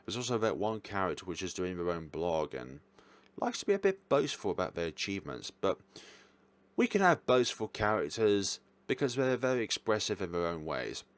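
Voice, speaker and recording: A man's voice: deep voice, very animated, normal speed. A man giving a casual, unscripted review as a channel host, rambling and correcting himself with apologetic asides. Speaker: visual novel reviewer hosting own channel.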